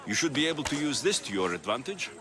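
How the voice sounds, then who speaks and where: A man's voice: medium pitch, sing-song, quick pace, gravelly, background noise. A man playing a confident, blunt and dry mercenary hero in a video game cutscene. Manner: blunt, self-assured. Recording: music under the voice.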